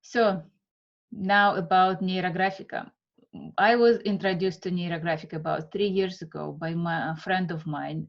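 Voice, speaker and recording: Slow Russian-accented woman instructor. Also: mid-range voice, lo-fi sound. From Siberia, Russia. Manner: unhurried, halting, with fillers. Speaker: art instructor. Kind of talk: online class introduction.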